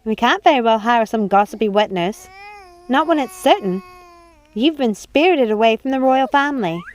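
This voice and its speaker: A woman's voice: a little high, lively intonation, moderate pace, darkish tone, close to an everyday voice. A woman voicing a fretful, scolding but kindly old housekeeper in a storybook reading, full of folksy, questioning exclamations. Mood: fretting. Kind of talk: storybook character voice.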